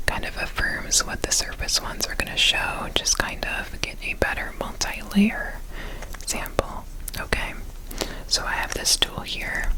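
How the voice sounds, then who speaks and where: A woman whispering softly and soothingly in an ASMR roleplay as an examiner. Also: poor audio. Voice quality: soft unvoiced whisper. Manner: soothing, repeats trigger words slowly.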